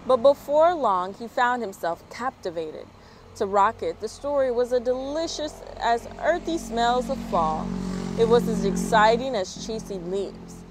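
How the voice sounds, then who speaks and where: A woman's voice: on the high side, lively intonation, measured pace. An American woman hosting a children's story time, reading and chatting expressively outdoors. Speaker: story time host and children's book reader. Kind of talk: children's read-aloud with friendly chat. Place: outdoors in a yard. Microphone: small external microphone. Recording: outdoor street-work and traffic noise. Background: street work, traffic, outdoor ambience.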